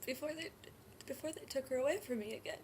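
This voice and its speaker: A woman performing a dramatic stage monologue as a grief-stricken, guilt-ridden nineteen-year-old mother, speaking haltingly and often in a breathy hush. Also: high-pitched, close to an everyday voice. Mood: grieving, quiet. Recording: noisy amateur room recording.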